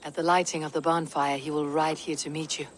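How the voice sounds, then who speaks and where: A woman playing a steadfast Viking warrior leader in a video game, measured, authoritative and direct. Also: sits low, flat delivery, slight background noise, lightly acted. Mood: gentle, persuasive. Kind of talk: video game cutscene acting.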